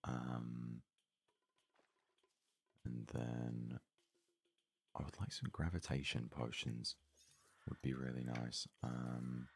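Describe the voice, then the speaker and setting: A man with an extremely low voice full of heavy vocal fry. He murmurs breathy, husky game commentary in an ASMR style, unhurried and thinking aloud as he plays. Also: steady tone, no room sound. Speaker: let's play host.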